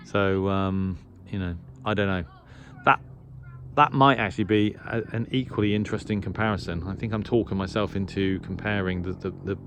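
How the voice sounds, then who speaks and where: Man, lowish voice, casual hobbyist presenter, unscripted, hesitant, thinking out loud. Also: slight background noise. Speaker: shortwave radio hobbyist presenter. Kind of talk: casual unscripted equipment review chat.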